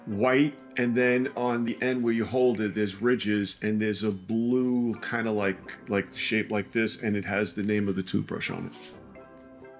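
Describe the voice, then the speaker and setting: Older New York dad, low-pitched, dry deadpan wit, relaxed Q&A co-host. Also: male voice, unhurried, soft background music. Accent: New York City. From New York City. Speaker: co-host, father of the other host. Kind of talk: casual Q&A banter.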